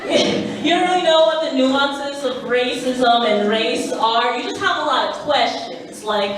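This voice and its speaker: A woman's voice: sits high, unhurried, a crowd in the background. A woman in her twenties doing a stand-up comedy set with self-deprecating jokes, laughing through her punchlines. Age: 20s. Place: comedy stage. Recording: distant, echoey, noisy stage recording.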